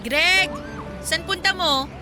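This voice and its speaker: A woman's voice: extremely high pitch, slow, over music. A woman playing a wistful young Filipina chatting casually with an old friend in a radio drama, with a Filipino accent. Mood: curious, wistful. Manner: casual, conversational.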